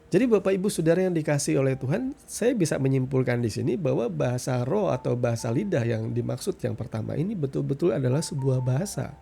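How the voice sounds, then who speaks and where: Gravelly, mellow man preaching informally. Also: medium pitch, expressive, slight background noise. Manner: conversational teaching, frequent tag questions and pauses for emphasis. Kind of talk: informal sermon, Bible reflection.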